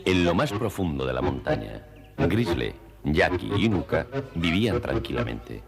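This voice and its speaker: A man narrating an animated nature tale in Castilian Spanish, in a scripted storybook style. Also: a bass voice, unhurried, gravelly. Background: music.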